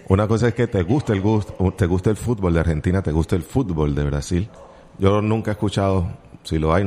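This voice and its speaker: Deep-voiced chatty Colombian radio host. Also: male voice, warm tone. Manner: joking, chatty, teasing asides. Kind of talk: casual morning radio chat. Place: radio studio.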